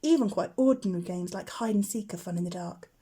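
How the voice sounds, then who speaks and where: A woman's voice: medium pitch, quick pace, slightly rough, natural voice. A woman voicing a cheerful, teasing older boy, a matter-of-fact scout in a storybook. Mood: enthusiastic. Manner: breezy, exclaiming, gently mocking.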